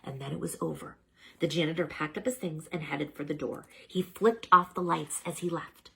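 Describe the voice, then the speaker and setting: A woman reading a children's storybook aloud as a storyteller, dramatic and expressive, with chatty asides to the listeners. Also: low voice, moderate pace, vocal fry, slightly rough, low-quality recording.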